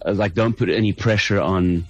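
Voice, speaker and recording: A middle-aged man with a South African accent talks through his answers slowly, full of fillers and restarts. His voice sits fairly low, and the sound is dull and lo-fi, like a remote line. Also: fairly even intonation, no room sound, soft background music. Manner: thoughtful, hesitant, many restarts and fillers. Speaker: band lead singer, guest. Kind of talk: casual interview chat.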